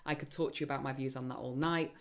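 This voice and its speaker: Croaky-voiced woman hosting a webinar. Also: very deep voice, steady tone, rapid-fire. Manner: chatty and enthusiastic, frequent ums. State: voice getting croaky. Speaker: webinar host and program founder. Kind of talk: live online Q&A session.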